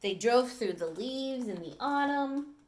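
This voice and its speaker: Teacher reading aloud, woman, animated sing-song delivery, slightly high voice, friendly asides to kids. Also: measured pace, slight background noise. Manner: expressive, animated storytelling with asides to listeners. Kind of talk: reading a picture book aloud to children.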